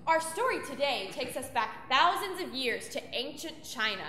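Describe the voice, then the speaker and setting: A woman's voice: sits high, big pitch swings, normal speed, pushed and bright, slight background noise. A woman who sings mezzo-soprano narrates a storybook tale on stage, stressing words vividly for young listeners. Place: opera house stage, live show. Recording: echoey hall with piano.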